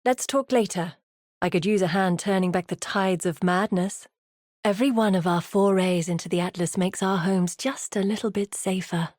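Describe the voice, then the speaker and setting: A woman's voice: medium pitch, a little mellow, dry recording, close to an everyday voice. Actress as determined exiled researcher woman, close dry recording. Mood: brisk, businesslike, then earnest, hopeful. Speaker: video game quest-giver character. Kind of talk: scripted video game voice acting.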